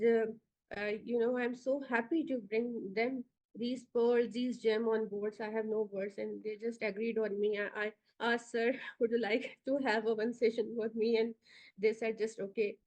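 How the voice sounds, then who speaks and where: A woman with a Pakistani accent and a fairly high voice addresses an online orientation over a video call. She talks in a loose, hesitant way, full of ums and uhs, stopping now and then to ask for the next slide. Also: steady tone, moderate pace, darkish tone, poor audio. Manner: hesitant, many fillers, directs slide changes. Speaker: institute founder giving orientation.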